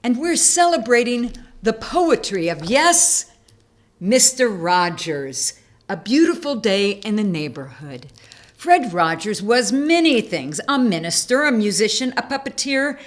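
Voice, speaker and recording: A woman hosting and reading children's poems aloud, with deliberate, emphatic phrasing made for young listeners. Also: on the high side, very animated. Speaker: host and poetry reader. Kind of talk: expressive read-aloud of children's poetry.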